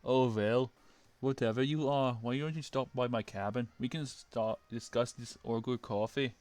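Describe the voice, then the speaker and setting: A man's voice: mid-range voice, fairly even intonation, measured pace, a little mellow, natural voice. Man doing a big jovial ogre, lilting brogue, crude.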